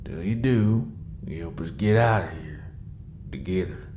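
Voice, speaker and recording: A man playing a sincere, calming pony companion with an earnest, folksy way of talking in an audio drama. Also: sits low, a little slow, a little hiss or hum. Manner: earnest, plain folksy grammar.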